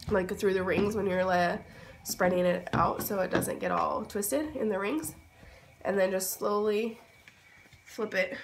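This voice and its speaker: A hoarse, sick-sounding woman giving a casual, informal step-by-step hands-on tutorial. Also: mid-range voice. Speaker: babywearing tutorial host. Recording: roomy, distant mic, noisy background.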